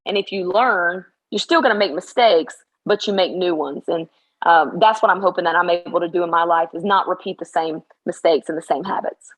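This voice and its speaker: A middle-aged woman basketball coach, slightly hoarse after practice, talking in an emphatic, motivational way in a casual interview. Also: lowish voice, fairly quick, rough recording.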